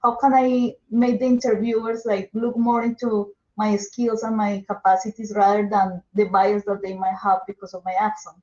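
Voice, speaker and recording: A woman's voice: medium pitch, flat delivery, moderate pace, few pauses, mellow, dull audio. Colombian-accented woman in an echoey room. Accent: Colombian Spanish. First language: Spanish. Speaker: audience member asking a question. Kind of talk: recorded video question.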